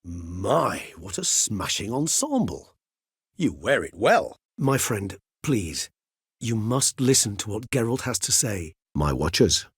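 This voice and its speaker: An actor playing a courteous, erudite, world-weary centuries-old vampire in a video game, speaking in measured, eloquent, formal phrases. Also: male voice, on the low side, sing-song, husky, no echo, clean studio sound, full character voice. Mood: courteous, composed. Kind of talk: video game character dialogue.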